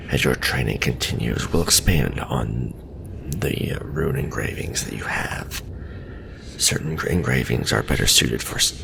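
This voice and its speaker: Undead veteran death knight played by a man, extremely deep and crackling, half-whispered, formal stern mentor, fantasy ASMR, noisy backdrop. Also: vocal fry, exaggerated voice. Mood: measured, instructive. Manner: measured, formal, instructive.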